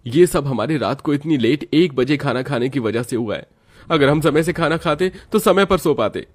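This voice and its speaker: Man voicing a plaintive young man, pitched high. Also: expressive, normal speed, lightly acted. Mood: frustrated. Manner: plaintive, complaining. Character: complaining young man of the household, hungry and put-upon. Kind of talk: cartoon family drama acting.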